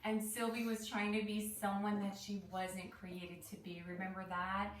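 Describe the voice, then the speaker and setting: A woman's voice: mid-range voice, level tone, normal speed. A woman speaks as an elementary teacher leading a read-aloud lesson for young children. She explains in simple, encouraging steps and often checks in with little questions. The recording is roomy and echoey, picked up at a distance from the mic with some background noise. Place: indoor room with pet dogs nearby.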